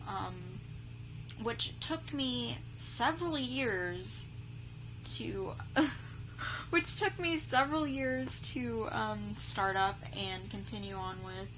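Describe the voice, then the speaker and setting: A woman's voice: on the high side, slow. A young woman chatting casually as a vlogger, rambling with lots of "like" and "um". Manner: chatty, full of "like" and "um" fillers. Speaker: vlogger reacting to a video. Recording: steady background hum.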